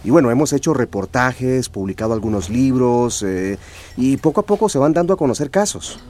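A man playing an earnest journalist and boyfriend in a radio drama, with a measured manner. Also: mid-range voice, animated, a little mellow, a little hiss or hum, close to an everyday voice.

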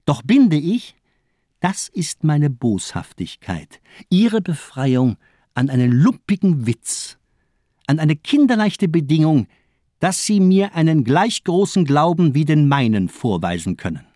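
Fanatical villain doctor, swooping declamation. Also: male voice, on the high side, big pitch swings, unhurried, mellow, dry recording, clean studio sound. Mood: cold fervour. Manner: declamatory, insistent, rhetorical. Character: fanatical, mocking villainous male doctor, zealously demanding. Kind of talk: radio drama acting.

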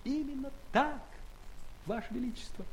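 Tiny, very high-voiced proud traveler. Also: male voice, moderate pace. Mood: courteous, earnest. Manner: formal, earnest, courteous. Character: tiny proud human traveler among giants, dignified and indignant. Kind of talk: audio play acting.

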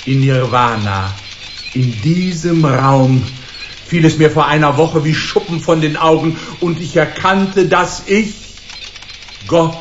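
An actor playing a megalomaniac guru who believes he is God, pompous and grandiose with theatrical pauses, in a German radio drama. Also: fairly high pitch, highs cut off, a little hiss or hum.

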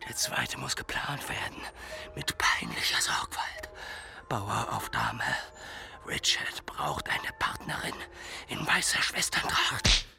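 Scheming male villain, radio crime drama, slow whispered muttering, gloating, obsessive. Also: mid-range voice, huge pitch swings, sharp tone, exaggerated voice. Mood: gloating, plotting. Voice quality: breathy half-whisper.

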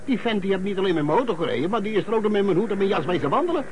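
Baffled, high-voiced man. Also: animated, rapid-fire, highs cut off, a little hiss or hum. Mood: indignant, puzzled. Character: baffled, indignant male car owner, Egon. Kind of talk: radio play acting.

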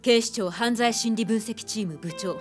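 A woman's voice: on the high side, over music, close to an everyday voice. Woman playing a grave, composed female psychiatrist. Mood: somber, reflective. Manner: measured, grave, deliberate. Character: composed, haunted female psychiatrist telling her dark past. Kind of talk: Japanese radio drama acting.

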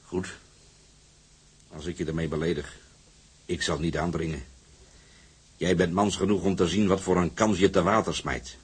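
Gruff old skipper, low and really raspy, bossy but shrewd, period drama. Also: male voice, fairly even intonation, normal speed, pauses often. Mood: gruff, businesslike. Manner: gruff, hesitant, throat-clearing authority. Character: commanding old skipper, shrewd but generous boat owner. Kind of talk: Dutch period drama acting.

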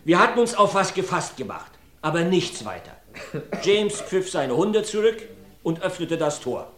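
A man's voice: high voice, very animated, normal speed. An actor playing a talkative rustic farmer who rambles through his testimony in a radio play. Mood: folksy. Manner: folksy, rambling storyteller. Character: rustic male farmer, chatty court witness. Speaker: witness in a courtroom. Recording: roomy studio.

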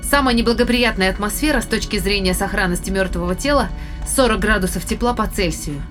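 A woman's voice: mid-range voice, fairly quick, over music, lightly acted. An actress in an audio drama playing a composed female spaceship scientist who explains things articulately. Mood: composed, clinical.

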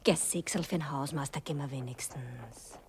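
An actress playing a brash, coaxing streetwalker in a radio play, with slangy, often hushed Viennese speech. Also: female voice, low-pitched, normal speed. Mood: wheedling. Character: brash, coaxing female streetwalker, earthy and teasing. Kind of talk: 1960s German radio play acting. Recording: noisy 1960s radio drama recording.

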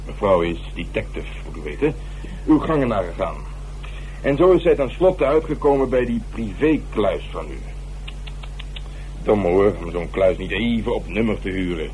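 An actor playing a cynical, hard-boiled police inspector in a radio drama, talking in a wry, mocking, colloquial way. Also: male voice, lowish voice, lively intonation, moderate pace, a little mellow, lightly acted. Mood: mocking, official. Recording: humming, noisy radio play recording.

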